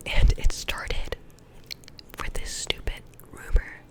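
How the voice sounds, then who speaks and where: A young woman getting over a cold, softly telling rambling, self-deprecating stories from her school days in an ASMR whisper. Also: slow pace. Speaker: vlogger.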